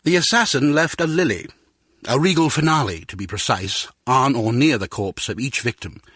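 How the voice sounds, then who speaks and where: The head of a spy agency in a video game. His medium-pitched voice is husky, formal and authoritative. The delivery is measured and businesslike, recorded very dry. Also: lively intonation, fairly bright tone, dry recording. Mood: grave, businesslike.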